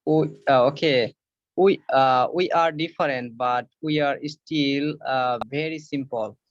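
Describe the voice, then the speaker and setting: A man's voice: medium pitch, a little slow. A man from Bangladesh, joining an online meeting and speaking hesitantly with many fillers. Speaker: meeting participant. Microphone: video call. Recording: dull lo-fi call audio.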